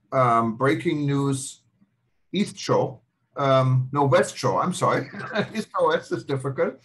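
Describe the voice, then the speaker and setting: A man hosting a live web broadcast from home, giving audience sign-up announcements in a chatty way, often correcting himself and apologizing. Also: medium pitch. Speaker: host. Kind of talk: livestream announcements.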